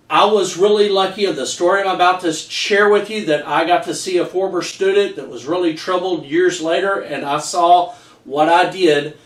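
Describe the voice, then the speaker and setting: A man's voice: high voice, a bit bright. A middle-aged man, a teacher earnestly reading his own story aloud and stumbling over some words. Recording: echoey room, distant microphone.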